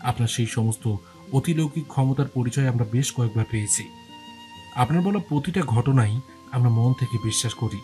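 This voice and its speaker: A man narrates an audio story in a medium-pitched voice. His delivery is scripted and dramatic, broken into deliberate, suspenseful phrases, with music clearly present underneath. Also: dark tone. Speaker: first-person narrator.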